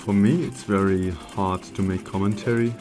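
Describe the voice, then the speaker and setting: A man with a German accent, a native German speaker, giving halting, unscripted commentary on gameplay as he searches for words. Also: low voice, fairly even intonation. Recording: game music playing behind. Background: video game music.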